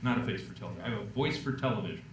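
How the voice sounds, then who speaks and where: A middle-aged man with a deep voice presents a public speaking workshop, telling stories with self-deprecating jokes. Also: expressive, rapid-fire. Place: lecture room. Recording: echoey room, distant mic, background noise.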